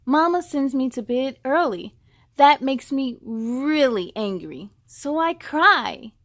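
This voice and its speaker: A woman reading a children's storybook as a young girl, an easily angered big sister, in an emphatic, deliberate way. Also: sits high, animated, a bit bright, dry recording, clean studio sound, heavily acted. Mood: mad, upset.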